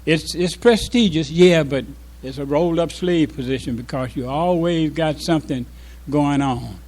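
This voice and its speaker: An older man with a voice a little higher than average gives an informal address as a former imam. He reminisces reflectively to a congregation. His delivery is halting, often pausing partway through a thought. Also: a little mellow. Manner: halting, reflective, with pauses mid-sentence.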